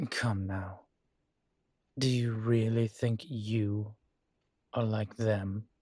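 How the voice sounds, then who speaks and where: A man playing a weary incubus college professor, gruff but fond, in an intimate scripted audio roleplay, speaking haltingly with sighs. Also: lowish voice, fairly even intonation, no room sound, clean studio sound. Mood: sincere, admitting. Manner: slow, halting, sighing, candid.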